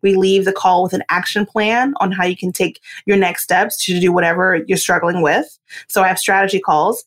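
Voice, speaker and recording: A woman's voice: lowish voice, fairly even intonation, moderate pace. Upbeat woman, laughs often. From United States. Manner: upbeat, laughs often, acts out quoted reactions. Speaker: guest, branding photographer. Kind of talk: casual podcast interview.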